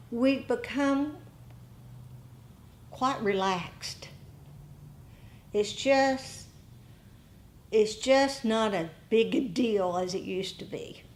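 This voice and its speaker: A woman meditation teacher giving a dharma talk to a group, speaking deliberately in short phrases with rhetorical questions. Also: medium pitch, a little hiss or hum.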